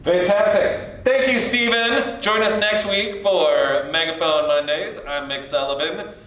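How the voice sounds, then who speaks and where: A man's voice: high voice, steady tone, unhurried, bright, forceful tone. A man hosting a light, playful interview, asking questions in an informal, quirky, jokey way. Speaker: interview host. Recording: very echoey room, distant mic.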